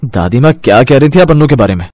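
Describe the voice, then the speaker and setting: A man's voice: medium pitch, lively intonation, quick pace, a little mellow, a little hiss or hum, close to an everyday voice. A man voicing an indignant young husband in a cartoon story, reasoning firmly and reproachfully with his elders. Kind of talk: dramatised cartoon story dialogue.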